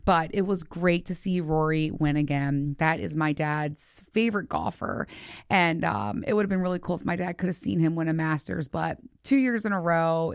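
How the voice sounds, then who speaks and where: A woman with a slightly low voice talks like a podcast host catching up with friends. Her delivery is chatty and candid, acting out little reactions as she tells stories. The sound is close and dry. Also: creaky, clear, resonant tone, dry recording. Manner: animated storytelling, acting out quoted reactions. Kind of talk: casual chatty solo podcast talk.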